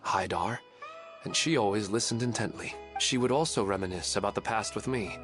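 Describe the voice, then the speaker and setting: A man's voice: on the low side, fairly quick, lightly acted. An actor playing a wistful, humble widowed father in a video game, speaking in an earnest, reflective manner. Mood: fond, reminiscing. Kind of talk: scripted video game voice acting. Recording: game music behind the voice. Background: game music.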